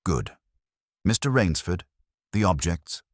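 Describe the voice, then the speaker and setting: A man voicing an imperious, erudite older detective in an audiobook, unhurried and pompous, with ornate, commanding phrasing. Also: low voice, big pitch swings, no echo, clean studio sound, voice pushed up, exaggerated voice. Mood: calm, imperious. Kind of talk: audiobook detective story dialogue.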